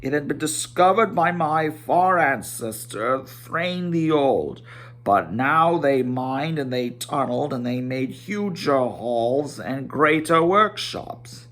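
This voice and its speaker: A man's voice: mid-range voice, lively intonation, slow pace, fairly bright tone. A man voicing a proud, long-winded dwarf leader recounting family history in a storybook. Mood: proud, reminiscing. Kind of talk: storybook character voice.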